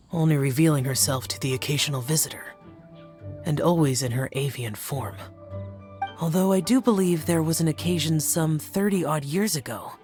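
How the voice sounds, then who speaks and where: A man's voice: fairly high pitch, lightly acted. A man voicing a stern, reserved guardian spirit who speaks formally and measuredly. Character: aloof, stern young male guardian spirit.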